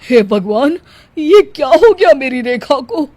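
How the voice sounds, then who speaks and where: A woman's voice: high voice, sing-song, slow pace, darkish tone, dry recording, heavily acted. Woman acting a brusque, fretful middle-aged husband. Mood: worried. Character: busy, irritable then worried middle-aged husband.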